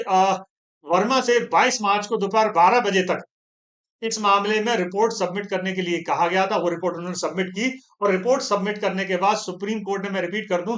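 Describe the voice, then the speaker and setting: A man doing online news commentary, talking straight to his viewers and often pausing on hesitation fillers while he explains a story. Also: sits high, fairly bright tone. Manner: addresses viewers as "comrades", frequent hesitation fillers; reads out long formal statements.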